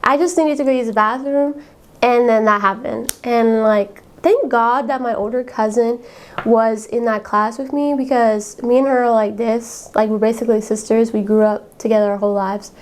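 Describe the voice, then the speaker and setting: Young female podcast host, high-pitched voice, candid confessional chat with lots of filler words. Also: fairly even intonation, normal speed. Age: young adult. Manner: candid and chatty, fillers like "like" and "um", laughs often. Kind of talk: casual personal storytelling.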